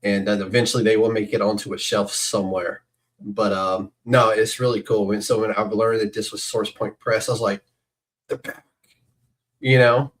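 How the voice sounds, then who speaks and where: A man with a fairly low voice hosts a casual podcast. He talks in a rambling way, like an excited fan. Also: normal speed. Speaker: podcast host.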